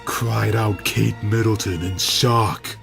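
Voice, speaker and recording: Man narrating a story slowly over music. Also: lowish voice, fairly even intonation, creaky. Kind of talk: dramatic story reading.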